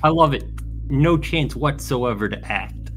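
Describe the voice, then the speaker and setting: Mid-pitched man joking at a game table. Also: animated, unhurried, fairly bright tone, faint music behind. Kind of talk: casual joking banter.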